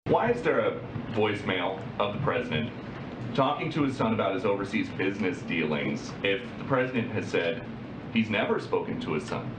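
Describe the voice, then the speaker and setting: Echoey briefing-room audio with clear background noise. A man with an American accent and a lowish voice presses questions as a reporter. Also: very animated, brisk.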